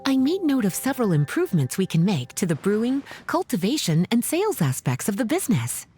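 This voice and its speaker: A woman's voice: on the high side, wildly swooping pitch, brisk, mellow, slightly rough, soft background music, exaggerated voice. A woman voicing a self-assured, teasing adult mentor in a video game, with a playful manner. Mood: composed, assured. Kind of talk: video game cutscene voice acting.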